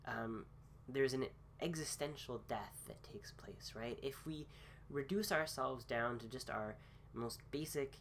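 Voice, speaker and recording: A man at middling pitch talks slowly through a casual philosophy talk, his voice a bit rough from a cold he is getting over. He hesitates often, filling gaps with ums and starting phrases twice. A faint hum sits behind him. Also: fairly bright tone. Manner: slow, hesitant, many fillers and restarts. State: recovering from a cold. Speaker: host.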